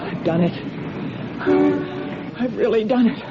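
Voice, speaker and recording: A woman's voice: a little high, a little slow, loud music behind the voice, natural voice. An actress playing an anxious, guilt-ridden married woman in a radio drama, speaking with hesitant, defensive phrasing. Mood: flustered, uneasy. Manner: hesitant, faltering. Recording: dull radio-drama recording.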